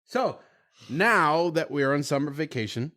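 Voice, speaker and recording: Man, 30s, podcast dad, very animated pitch swings, casual family interview tone. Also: normal speed. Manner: animated, repeats answers back. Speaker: podcast host, father interviewing his young sons.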